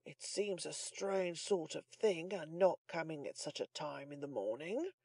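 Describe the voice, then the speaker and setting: A woman voicing a pompous, self-important old male servant in a storybook, excitable, blustering and boastful. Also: sits low, unhurried, vocal fry, no echo, full character voice. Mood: pompous composure. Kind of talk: audiobook character voice.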